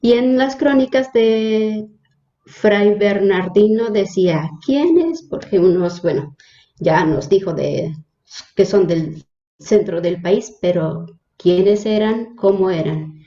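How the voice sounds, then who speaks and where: Slow, mellow-voiced Mexican nun. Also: female voice, mid-range voice, animated, roomy sound, muffled recording. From Mexico, Hidalgo state. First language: Nahuatl. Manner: hesitant, frequent fillers and restarts. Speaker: religious sister, guest. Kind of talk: interview, personal introduction.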